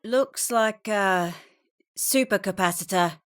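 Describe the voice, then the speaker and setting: A woman's voice: medium pitch, slow, close to an everyday voice. An actress playing an indifferent, unhelpful female delivery worker with an offhand, casual manner in a video game. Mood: offhand, unbothered.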